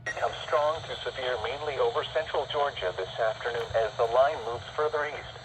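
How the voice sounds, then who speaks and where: A man's voice: medium pitch, fairly quick. A man's voice reading a scripted weather bulletin as an announcer through a weather radio speaker. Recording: noisy radio speaker picked up by camera.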